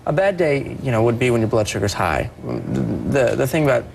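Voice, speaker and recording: A young man speaking as a performer guest on a TV interview, soft-voiced and earnest, often restarting his sentences. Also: medium pitch, lively intonation, fairly quick, vocal fry, a little hiss or hum, rough recording. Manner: earnest, with many restarts and repeated words. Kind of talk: TV morning show interview.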